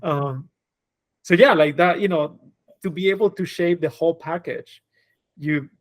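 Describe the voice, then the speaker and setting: A man's voice: fairly high pitch, a little slow. A man in his forties from Spain, whose first language is Spanish, talking as a guest on a podcast about his path as a designer, reflective and loose, with many fillers and restarts. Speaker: guest, designer and creative director. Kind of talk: casual podcast conversation.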